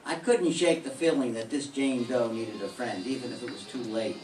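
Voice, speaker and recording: A man's voice: mid-range voice, normal speed, darkish tone, soft background music, natural voice. Older guy doing a dry sardonic private eye, old radio show style, roomy and far off. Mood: narrating. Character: hard-boiled male private detective, dry and sardonic. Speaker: amateur radio play performer. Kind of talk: 1940s radio acting. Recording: echoey room from far off, faint hiss.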